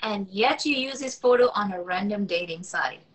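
A woman's voice: medium pitch, animated, normal speed, lightly acted, poor audio. A wary young woman on a first date played by an actress at a table read, speaking in natural, everyday dialogue. She sounds like she is on a video call from a room at home. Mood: sceptical. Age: young adult. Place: small room at home, indoors.

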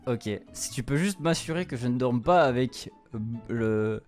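A man's voice: medium pitch, expressive, normal speed, a little mellow. Young man streaming, music in the background. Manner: informal slangy speech mixed with English words. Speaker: streamer playing a visual novel. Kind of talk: casual gameplay commentary with on-the-fly translation. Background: game music.

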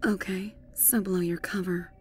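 A woman's voice: on the low side, little pitch movement, a little slow, faint music behind, close to an everyday voice. A woman playing a tough, guarded secret operative in an audiobook, with curt, clipped delivery. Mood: resolute. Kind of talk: acted audiobook dialogue over music.

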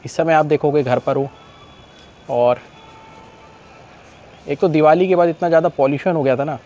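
Young man vlogging, higher-pitched, slightly throaty cough. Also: moderate pace, darkish tone, muffled recording. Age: young adult. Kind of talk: casual vlog talk to camera. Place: open rooftop outdoors in a city. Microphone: clip-on lapel mic. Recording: noisy outdoor urban ambience.

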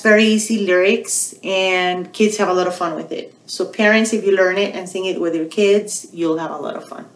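A middle-aged woman hosts a bilingual storytime for young children in a small, echoey room. Her pitch moves in lively swings and her pace is unhurried. She speaks deliberately, posing questions and repeating phrases like a teacher. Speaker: children's storytime host at a public library. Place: small quiet indoor room.

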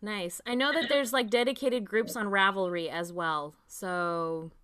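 A woman's voice: mid-range voice, steady tone, unhurried, a bit bright, clean tone. A woman in her forties hosting a casual knitting livestream over a laptop mic, bubbly and enthusiastic. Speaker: host. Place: home room with yarn shelves. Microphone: laptop webcam mic.

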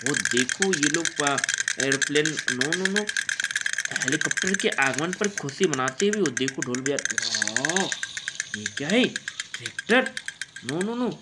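A man's voice: fairly high pitch, background noise. An Indian man improvising play-by-play narration of toy play for children in an exclamatory way, with frequent wows and interjections. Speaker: presenter of toy play videos.

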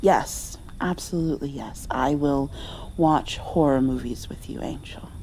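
A woman playing a loving mother who comforts her grown child in a tender, hushed ASMR roleplay. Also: very deep voice, a little slow, a little hiss or hum, low-quality recording. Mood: warm, affectionate. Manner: tender, soothing, sometimes dropping toward a whisper.

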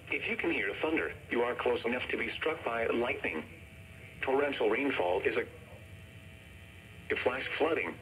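A synthetic male voice reading a scripted severe weather warning bulletin as an automated announcer, formal and measured, played through a radio speaker. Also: mid-range voice, fairly bright tone. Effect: synthetic text-to-speech voice. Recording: noisy weather radio speaker recorded in room.

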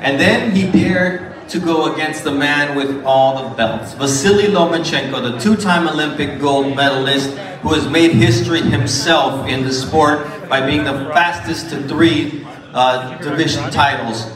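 A man's voice: a little high, a little slow. A man introducing an award winner at a dinner, building up with rising emphasis. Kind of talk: award presentation speech. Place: large banquet hall at an awards dinner. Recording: echoey hall from far off with crowd.

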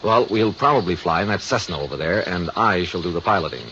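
An American actor playing an earnest, polite insurance adjuster who flies planes, explaining things in a 1950s radio drama. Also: male voice, low-pitched, expressive, fast, sharp tone. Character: earnest male insurance adjuster and seasoned pilot. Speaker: supporting actor. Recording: muffled, faintly noisy old radio recording.

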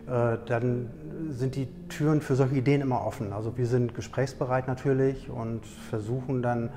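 A middle-aged man, a technical director, speaking in a measured, slightly hesitant way in a corporate video interview on a lapel mic. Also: medium pitch, fairly even intonation, in a large hall, noisy background. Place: indoor showroom with product shelves.

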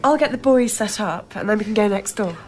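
A woman's voice: medium pitch, lively intonation, fairly quick, darkish tone, close to an everyday voice. An actress playing an eager young British woman on a film crew, in naturalistic radio drama dialogue. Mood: helpful. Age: young adult.

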